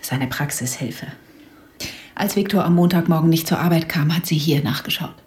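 Actress as subdued genteel widow, lowish dark voice, soft, breathy, hesitant, polite, radio drama. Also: female voice, moderate pace, lightly acted. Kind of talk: naturalistic radio drama acting.

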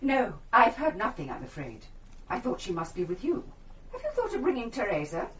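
An actress playing a well-meaning, flustered spinster with an English accent, gushing and dithering in a radio drama. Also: female voice, fairly high pitch, huge pitch swings, fairly quick, very noisy. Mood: nervously polite.

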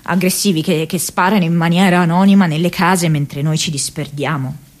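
An earnest, troubled young woman activist played by an actress speaks her diary as intimate radio theatre. Her voice sits a little low for a woman. She halts and searches for words as she goes. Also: steady tone, natural voice. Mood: troubled, intense. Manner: hesitant, halting, searching for words.

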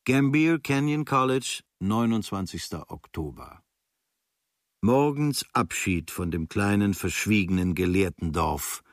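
Low-voiced man reading a diary, dry sound. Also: lively intonation, a little slow, no room sound. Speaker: reader of a travel diary. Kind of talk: scripted literary diary narration.

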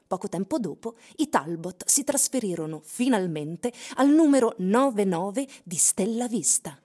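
An actress delivering scripted dramatic narration of a tense literary story for a radio play. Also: female voice, medium pitch, expressive, a little slow, warm tone, husky. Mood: measured, solemn. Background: music.

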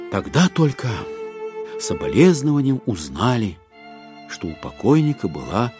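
A man narrating a literary audio drama, with expressive, ironic and deliberate phrasing. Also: on the low side.

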